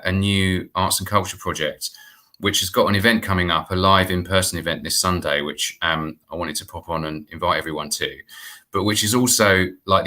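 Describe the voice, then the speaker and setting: A middle-aged man with a British accent talks as an interview guest. His voice is very low, with a bright, forward edge. He explains things informally over a video call. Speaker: guest, playwright and producer. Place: indoor room on video call. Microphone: wired earphones.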